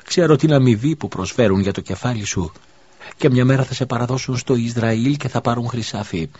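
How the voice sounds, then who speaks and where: Guy reading an audiobook doing a grieving widow voice, high and pleading, kinda husky, dull lo-fi audio. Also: a little mellow, dry recording, lightly acted. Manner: pleading, then wailing curses. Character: desperate grieving widowed mother. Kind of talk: audiobook character voice. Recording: dull lo-fi recording.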